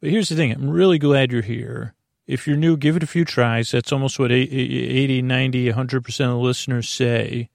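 A man hosting a bedtime podcast with a creaky voice, meandering hesitantly through a soothing monologue and often losing his thread. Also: mid-range voice, fairly even intonation, a little fast, no echo, studio quality. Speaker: host of a bedtime story podcast to help listeners sleep.

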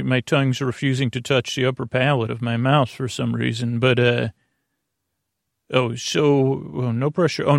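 A man hosting a sleep podcast in a rambling, whimsical bedtime monologue, halting and repeating words, reassuring and companionable, with a creaky voice. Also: medium pitch, no room sound, clean studio sound.

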